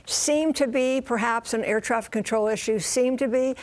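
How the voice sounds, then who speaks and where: A woman's voice: on the high side, normal speed, darkish tone. An American woman working as a news correspondent, explaining a story live in an informal, explanatory way. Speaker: transportation correspondent.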